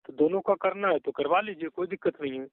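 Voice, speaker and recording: A man's voice: sits high, quick pace, dry recording. A man talking in a phone call recording in a pushy, curt and insistent way. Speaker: caller soliciting payment for a job listing. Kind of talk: phone conversation.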